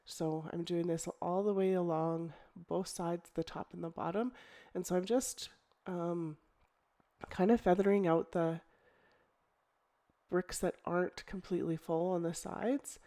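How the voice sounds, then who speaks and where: A middle-aged woman with a croaky voice from a cold, chatting casually as she explains a scrapbooking project, with ums and restarts. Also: on the low side, unhurried. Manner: relaxed, chatty, thinking aloud with ums and restarts. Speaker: scrapbooking crafter. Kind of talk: casual crafting tutorial voice-over.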